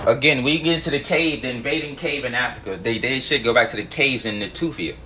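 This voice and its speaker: A man's voice: medium pitch, fast, sharp tone, slight background noise. A man delivering a heated monologue to his viewers, emphatic and profane, repeating his points. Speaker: video host.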